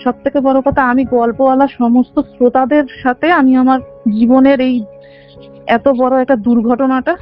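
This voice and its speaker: A woman's voice: high-pitched, level tone, normal speed. A woman of about twenty earnestly recounts a personal story in detail on a call. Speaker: caller telling their own story. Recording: dull band-limited phone call, faint music. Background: faint background music.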